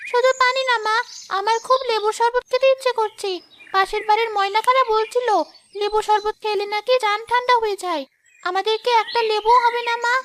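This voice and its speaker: Woman voicing a little cartoon girl, coaxing, wheedling, very high childlike voice. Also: steady tone, a little fast, slight background noise. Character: young girl of a poor family, longing.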